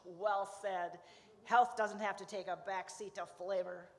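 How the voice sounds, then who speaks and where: A woman's voice: medium pitch, fairly even intonation, a little slow, lightly acted. A woman playing a chirpy, easily muddled festival reporter in a live radio comedy. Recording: reverberant hall stage recording.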